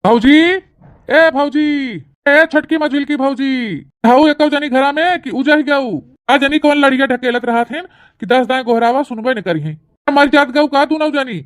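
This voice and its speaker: Woman as a high-voiced, bossy Awadhi brother-in-law. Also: a little slow, dry recording, clean studio sound. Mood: bossy, demanding. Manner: scolding, grumbling, imperious. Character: bossy, short-tempered young brother-in-law, demanding and sulky. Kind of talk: cartoon comedy dialogue.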